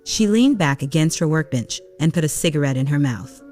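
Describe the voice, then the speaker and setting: A woman's voice: low-pitched, animated, fairly quick, darkish tone. Woman narrating a story over music.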